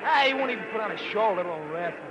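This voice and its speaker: An actor playing a rowdy, scornful wrestling fan with slangy tough talk, in 1940s radio acting style. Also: male voice, high-pitched, lively intonation, racing, highs cut off. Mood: mocking. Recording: noisy old radio recording, roaring crowd.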